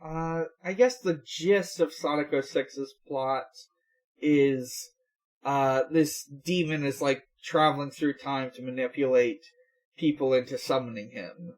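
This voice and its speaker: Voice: medium pitch, fairly even intonation, a little slow, rough recording. A podcast host chatting casually, thinking aloud in a hesitant way. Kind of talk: casual chat about fan animation and games.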